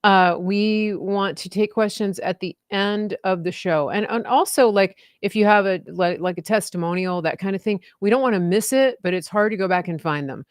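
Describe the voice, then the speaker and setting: A woman's voice: mid-range voice, normal speed, few pauses, clear, resonant tone, no echo, clean studio sound. A sixty-year-old woman hosting a live show in a casual, chatty, unscripted way.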